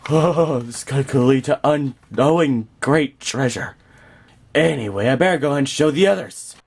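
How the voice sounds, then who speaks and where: A man's voice: medium pitch, measured pace, creaky, husky. A man performing an amateur comedic character skit in a theatrical, exclamatory manner.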